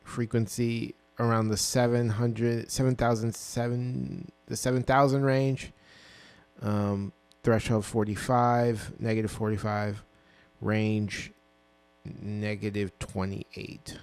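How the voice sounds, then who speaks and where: A man in his twenties with a low voice, casually walking through his own song mix with plenty of ums and self-corrections, recorded on a dynamic mic in a small home studio. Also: slow, gravelly, clear, resonant tone. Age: 20s. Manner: relaxed, rambling, full of ums and self-corrections. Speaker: music producer. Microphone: dynamic broadcast mic.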